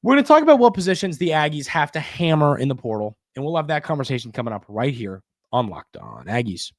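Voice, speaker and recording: A man's voice: fairly high pitch, very animated, fast talker, no echo. A man hosting a sports podcast, giving conversational commentary in an emphatic way and repeating phrases for stress.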